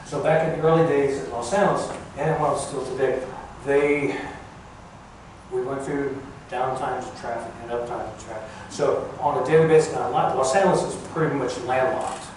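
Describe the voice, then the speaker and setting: An older man, a former air traffic controller, gives an informal talk in a plain-spoken, halting, folksy way. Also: mid-range voice, fairly even intonation. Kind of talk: informal public talk recounting career. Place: large echoey museum room. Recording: echoey hall, distant mic, clear background noise.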